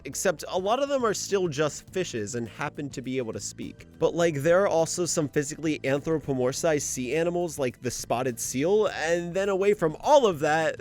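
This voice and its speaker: A man's voice: a little high, darkish tone. A man hosting a lore video essay in an informal commentary style, often posing rhetorical questions.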